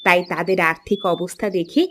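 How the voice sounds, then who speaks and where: A woman's voice: on the low side, steady tone, moderate pace, fairly bright tone, lightly acted. A woman playing a chatty, earnest young married daughter in a cartoon folk tale. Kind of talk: Bengali animated folk-tale dialogue. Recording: clear background noise, chirping birds.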